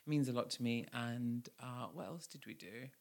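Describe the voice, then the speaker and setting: A man's voice: mid-range voice, normal speed. A man in his thirties co-hosting a casual, bantering chat with his partner in a playful, campy, mock-dramatic way. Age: 30s. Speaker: co-host.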